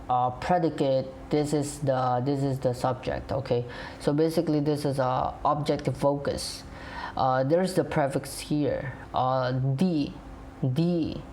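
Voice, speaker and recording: A man's voice: mid-range voice, a little mellow, a little hiss or hum. A young man with an Indonesian accent, whose first language is Indonesian, explaining in English with many fillers and restarts in a reaction video. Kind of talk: casual explaining to camera.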